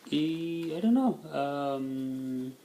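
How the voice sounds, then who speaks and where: A man's voice: fairly high pitch, lively intonation, drawn-out words, mellow, echoey room, slight background noise, low-quality recording. A middle-aged man from India, a native Tamil speaker with an Indian accent, answering interview questions in a casual, reflective way.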